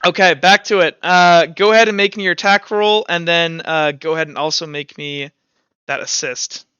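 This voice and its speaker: Bright, crisp, higher-voiced man running a game. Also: steady tone, normal speed, no echo, muffled recording. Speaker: game master. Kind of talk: casual tabletop game narration and rules talk. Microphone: headset.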